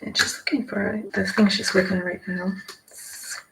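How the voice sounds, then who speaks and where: American woman, creaky voice, very noisy recording. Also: mid-range voice, level tone, moderate pace. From United States. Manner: talks to herself, asks and answers her own questions. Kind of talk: rambling casual solo chat while playing cards.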